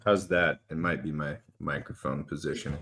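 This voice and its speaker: A podcast host with a very deep voice asks his guest questions, searching for words as he goes. His phrasing is loose and casual. The sound carries a clear echo from the room around him. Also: fairly even intonation, moderate pace, fairly bright tone. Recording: echoey room.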